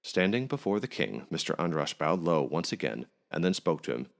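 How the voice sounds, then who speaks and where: A man's voice: rumbling low, very animated, a little fast, creaky. A man narrating a scripted folk tale aloud as a podcast storyteller.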